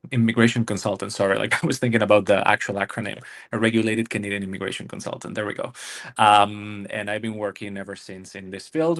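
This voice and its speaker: A man from Argentina, speaking, presents a webinar in a somewhat low voice. He hesitates often, restarting phrases and filling pauses as he explains. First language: Spanish. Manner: hesitant, many fillers and restarts. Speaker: immigration consultant.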